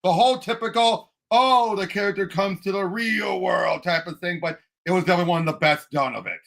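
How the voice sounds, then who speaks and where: A man co-hosting a casual movie discussion, excitable and animated as he retells and acts out quotes. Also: high-pitched, brisk, creaky. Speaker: movie podcast co-host.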